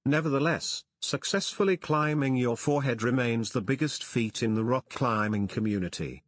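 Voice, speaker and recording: A man's voice: mid-range voice, moderate pace, no echo, clean studio sound. A man reading out a joke message in a mock-dramatic narrating style.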